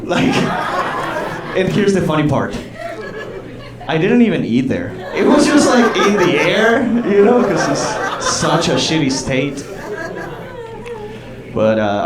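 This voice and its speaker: A man from Chile performs live stand-up comedy, talking conversationally with pauses and bursts of exclamation. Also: medium pitch, heavy background noise. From southern Chile. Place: small comedy theater stage, indoors. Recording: roomy live club sound, distant, audience. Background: audience laughter.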